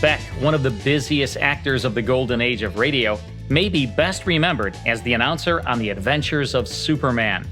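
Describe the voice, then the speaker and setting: A man's voice: medium pitch, normal speed, sharp tone. An American man reading scripted narration as the host of a podcast. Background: music.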